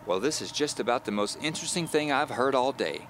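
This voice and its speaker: A man's voice: medium pitch, animated, brisk, a bit bright, slight background noise, pitched above the performer's own voice, lightly acted. Man putting on a chirpy, folksy older lady neighbour. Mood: delighted. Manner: folksy, effusive, fussing. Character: cheerful, chatty, nosy older woman neighbour, about seventy.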